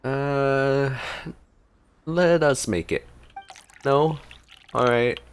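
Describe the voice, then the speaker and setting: A man's voice: medium pitch, very slow, smooth. A man giving casual gameplay reaction commentary, conversational and a little hesitant in his delivery. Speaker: gaming video host.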